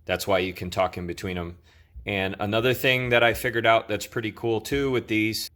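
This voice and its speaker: A man's voice: on the low side, fairly bright tone. A man hosting an informal product review, talking in a casual, conversational way.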